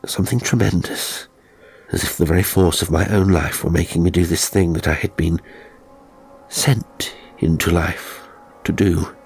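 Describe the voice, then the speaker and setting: A man voicing a tormented English gentleman in an audiobook, evasive and desperate, with a strained, halting intensity. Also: sits low, fairly even intonation, soft background music. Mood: haunted, desperate. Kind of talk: audiobook character acting.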